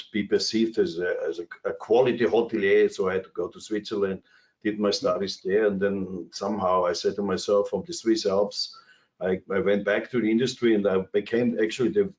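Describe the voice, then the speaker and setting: Low-voiced Austrian man, rambling on a call. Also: steady tone, brisk, a little mellow, highs cut off. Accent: Austrian German. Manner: rambling, anecdotal, many fillers and restarts. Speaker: cruise industry executive. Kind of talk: webinar panel talk. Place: his office. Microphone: video call.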